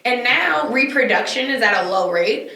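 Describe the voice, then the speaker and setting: Bright, emphatic woman in an echoey room. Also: fairly high pitch. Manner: animated, emphatic, quick asides. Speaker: podcast host. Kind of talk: casual chat. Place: recorded on location, indoors.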